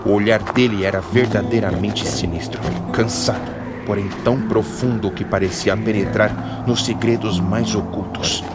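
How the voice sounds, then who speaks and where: A man narrating a fantasy audiodrama in a steady, dramatic storytelling manner. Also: on the low side, moderate pace, very noisy.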